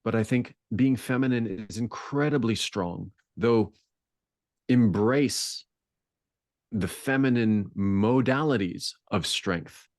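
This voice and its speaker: A man with a deep voice speaking as a podcast guest in a reflective conversation, searching for words and building intensity on key points. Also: slow pace, a little mellow, no room sound, studio quality.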